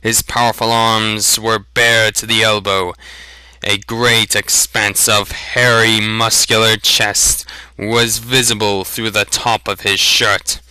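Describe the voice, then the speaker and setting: Very bright, slow-reading male narrator. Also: lowish voice, little pitch movement, smooth, no echo, low-quality recording. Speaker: storybook narrator. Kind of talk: dramatic amateur audiobook reading.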